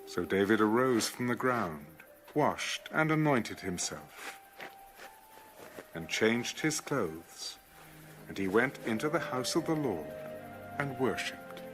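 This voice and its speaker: A man's voice: mid-range voice, sing-song, takes it slowly, fairly bright tone, faint music behind, poor audio. A man narrating scripture from a script as dramatic audio Bible storytelling.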